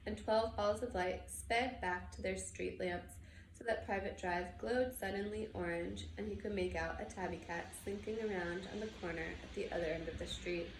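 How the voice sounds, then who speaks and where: A woman reading a novel aloud as expressive scripted storybook narration. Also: medium pitch, vocal fry. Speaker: storybook reader. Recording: echoey room with background noise.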